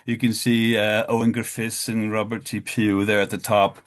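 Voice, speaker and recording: A man giving a history talk over slides, with many fillers and restarts, his pitch lifting at each new point. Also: flat delivery, normal speed. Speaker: lecturer.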